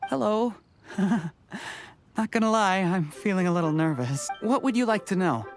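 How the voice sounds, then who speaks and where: A woman's voice: medium pitch, lively intonation, normal speed, soft background music, close to an everyday voice. An actress playing a friendly, frank young woman in a video game, informal and conciliatory in her manner. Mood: candid, confident. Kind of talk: anime-style video game voice acting.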